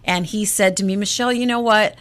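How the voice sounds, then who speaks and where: A woman's voice: mid-range voice, fairly quick. A woman who grew up in Egypt, a jazz singer telling stories in a casual radio interview, acting out quotes and restarting her words. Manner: animated storyteller, acts out quotes, repeats words when starting. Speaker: jazz vocalist guest.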